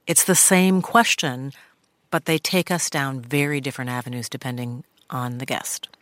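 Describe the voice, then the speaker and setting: A woman journalist with a low voice, reminiscing in a radio studio interview. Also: fairly even intonation, moderate pace, a bit bright, no echo. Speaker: journalist and podcast host, as guest. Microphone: studio microphone.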